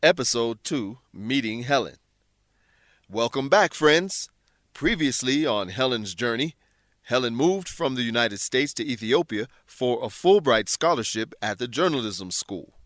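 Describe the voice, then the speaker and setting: A man narrating an English-learning radio drama as host, scripted, clear, instructive and welcoming. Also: medium pitch, lively intonation, measured pace, a bit bright, no room sound, clean studio sound.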